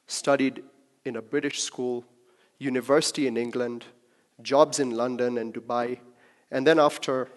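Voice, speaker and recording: Young man, from Kenya, relaxed talk speaker, chatty with the audience. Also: medium pitch. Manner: conversational, jokes with the crowd. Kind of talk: personal talk to a live audience.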